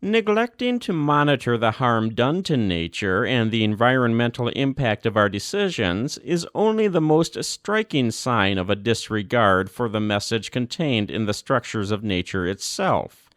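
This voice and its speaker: A man reading a formal religious text as scripted narration, with measured, deliberate phrasing and emphatic pauses. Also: medium pitch, lively intonation, a bit bright, dry recording, clean studio sound. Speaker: volunteer audiobook narrator.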